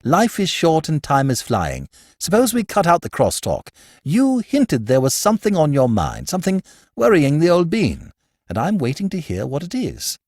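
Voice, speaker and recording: A man's voice: a little high, wildly swooping pitch, normal speed, a little mellow, no echo, studio quality, pitched above the performer's own voice, full character voice. A man reading an audiobook and voicing a chatty, jaunty young upper-class man who teases his friend with airy slang. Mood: breezy. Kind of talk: audiobook character voice.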